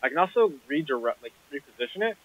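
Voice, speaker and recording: Quick young man on tinny intercom. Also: a little high, animated, fairly bright tone, no echo. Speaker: lighting crew member. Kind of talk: casual crew chatter. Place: outdoors at night. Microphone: intercom headset. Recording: tinny phone-like radio intercom.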